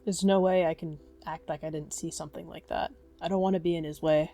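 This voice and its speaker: A woman's voice: sits low, steady tone, fairly quick. A woman playing a frightened young apprentice cat, anxious and unsteady, in a roleplay audio drama. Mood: panicked. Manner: hushed, shaky, anxious. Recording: music behind.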